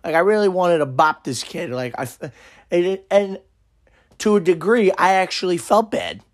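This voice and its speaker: A young man, hosting a podcast and telling a story in a brash, profane, animated way, his voice hoarse and worn out. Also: high voice, normal speed, no room sound, clean studio sound. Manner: brash, swearing, animated retelling. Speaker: podcast host. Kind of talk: casual profane storytelling monologue.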